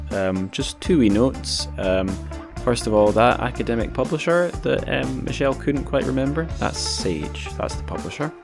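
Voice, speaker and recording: A man with a notably low voice hosts a casual podcast. He rambles informally and puts himself down a little as he goes. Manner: informal, self-deprecating, rambling. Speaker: podcast host.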